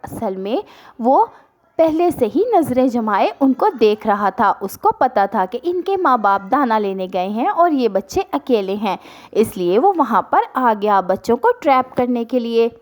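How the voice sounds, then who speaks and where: High-pitched, animated woman storyteller. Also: measured pace. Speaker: children's storyteller. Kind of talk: scripted storytelling for children's cartoon.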